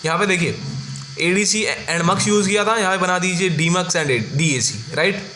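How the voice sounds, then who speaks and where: Bright, higher-pitched Indian male tutor. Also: normal speed. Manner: informal, instructional, frequent check-words like "right" and "okay". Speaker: engineering tutor. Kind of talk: exam-focused lecture explaining block diagrams. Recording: roomy echo with faint background noise.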